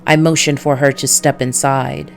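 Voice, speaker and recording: Woman voicing a low, weary noir detective. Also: little pitch movement, moderate pace, vocal fry, faint music behind. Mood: brooding. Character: weary, hard-boiled male noir detective narrator. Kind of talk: noir visual novel narration.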